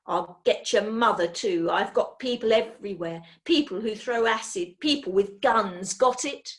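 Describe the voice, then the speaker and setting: A menacing, red-faced chicken farmer snarls threats and bullies those around him, as a woman reads him aloud. He sounds loud and pushy in a storybook reading, with a voice at an ordinary middle pitch. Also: unhurried, close to an everyday voice. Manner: threatening, bullying.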